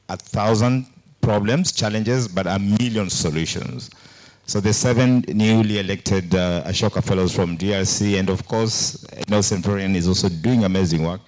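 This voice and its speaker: A man with a very low, deep voice whose first language is Luo. He speaks as a panelist to a live audience. His talk is reflective and story-driven, with pauses and fillers. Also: a little mellow, low-quality recording. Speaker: panelist from a social entrepreneurship organization.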